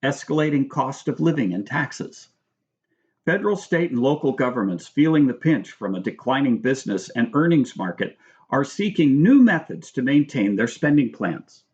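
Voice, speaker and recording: A muffled, lo-fi recording of a man with a mid-pitched voice narrating nonfiction. He reads from a script in a measured, formal way, as an author presenting his own writing. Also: animated, a little mellow. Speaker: author reading own book aloud. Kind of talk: scripted narration with brief spoken asides.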